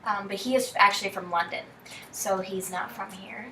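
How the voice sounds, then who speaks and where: A young woman speaks softly and hesitantly, with a bright edge to her voice. She sits away from the mic in an echoey room, with faint hiss behind her. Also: medium pitch, fairly even intonation, normal speed, recorded from a distance. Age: young adult. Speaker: student athlete. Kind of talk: casual conversation.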